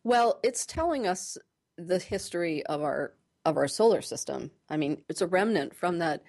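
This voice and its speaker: A woman with a slightly low voice explains science as an interview guest. She is measured and clear in her wording, pausing mid-phrase now and then as she picks her terms. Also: animated, darkish tone.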